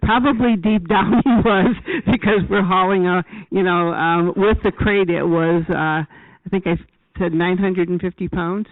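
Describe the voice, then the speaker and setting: A woman speaking casually and earnestly, in halting phrases. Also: on the low side, no room sound. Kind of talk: casual live chat. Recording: thin, dry phone-like sound.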